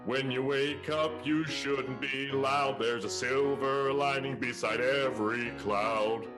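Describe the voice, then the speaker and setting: A man acts out a mopey vaudeville comedian nursing a broken heart in improvised radio comedy. He sounds mournful and full of sighs, at an ordinary male pitch, with instrumental music underneath. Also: steady tone, slow, close to an everyday voice. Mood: wistful singing. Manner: mournful, sighing.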